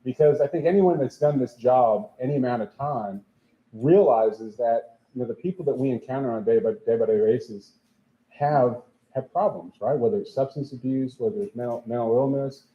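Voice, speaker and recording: A man speaks casually at a community town hall, often restarting and repeating words. The sound is echoey and slightly muffled. His pitch sits in an ordinary middle range. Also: moderate pace. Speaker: police official. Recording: roomy, dull sound.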